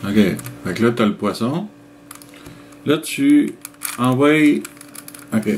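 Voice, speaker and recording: A middle-aged man with a Québécois French accent reads out viewer comments and answers them in a relaxed, chuckling way, explaining things step by step. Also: medium pitch, slow pace, a little hiss or hum. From Quebec, Canada. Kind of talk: casual chat reading out comments.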